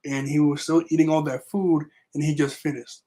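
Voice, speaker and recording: Raspy-voiced young man recapping casually. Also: on the high side, level tone, normal speed, warm tone. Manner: casual, rambling recap with fillers. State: raspy voice recovering from illness. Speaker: manga review vlogger. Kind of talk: casual chat.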